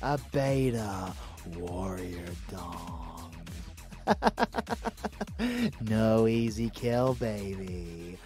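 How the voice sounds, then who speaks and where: A man playing a murderous, possessive male vampire lover in an ASMR audio drama, theatrical and drawn-out, both adoring and menacing. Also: lowish voice, warm tone, soft background music. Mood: adoring, intimate.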